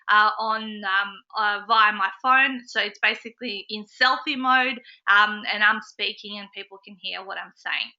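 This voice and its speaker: A bright, sharp-toned woman with a fairly high voice talks casually to members on a live call, filling her sentences with ums and uhs. She has a slight cold that makes her a bit nasal, and the call audio is dull and lo-fi. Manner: chatty, with many ums and uhs. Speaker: host of a business support group call. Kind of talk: casual webinar talk to members.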